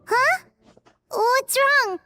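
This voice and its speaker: A woman voicing a small, excitable, childlike fairy girl guide in an anime-style video game, cheerful and boastful with a squeaky chirpy delivery. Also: extremely slow, faint music behind, exaggerated voice. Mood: surprised. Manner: refers to self in third person, chirpy.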